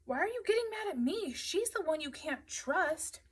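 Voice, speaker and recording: A woman's voice: a little high, normal speed, fairly bright tone. Woman acting brash, sneering adolescent boy. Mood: accusing. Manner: taunting, argumentative.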